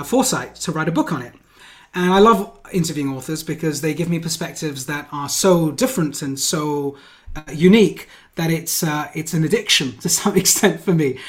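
A man hosting an interview show he founded, talking enthusiastically and at length. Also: on the high side. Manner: enthusiastic, rambling with fillers and restarts.

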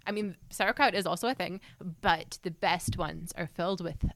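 A woman's voice: lowish voice, normal speed, gravelly, a bit bright, dry recording. A young woman chatting casually on a podcast, explaining things in an opinionated way and readily correcting people. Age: young adult.

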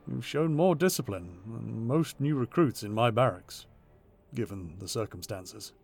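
A man's voice: mid-range voice, animated, measured pace, gravelly, a little mellow, faint music behind. A man playing a stern, battle-hardened military commander in a science fiction audio drama, speaking tersely. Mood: gruff approval. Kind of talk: sci-fi audio drama acting.

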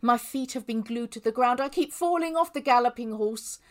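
A woman's voice: fairly high pitch, normal speed. A woman poet reading her own work aloud in emphatic, dramatic declarative lines.

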